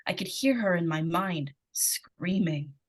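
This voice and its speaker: A woman's voice: fairly low pitch, a little slow. A woman playing an earnest, pleading young girl in old-time radio suspense drama, urgent and insistent. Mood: subdued, haunted. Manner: urgent, breathless repetition and pleading. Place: live stage radio-play performance.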